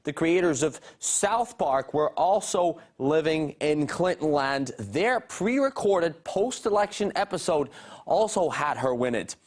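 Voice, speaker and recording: Guy reporting the news, slightly high voice, punchy rhetorical style, reads script then quizzes guests. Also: lively intonation, a little slow. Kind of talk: scripted narration and live interview.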